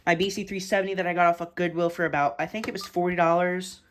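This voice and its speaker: A woman's voice: on the low side, level tone, quick pace, heavy background noise. A woman giving a casual, blunt, informal show-and-tell tour of a collection as a vlogger. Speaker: vlogger showing a radio collection.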